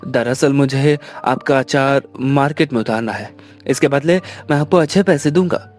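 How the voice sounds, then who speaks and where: A man's voice: medium pitch, moderate pace, a little mellow, faint music behind, natural voice. An actor playing a polite, persuasive young businessman in a Hindi family cartoon.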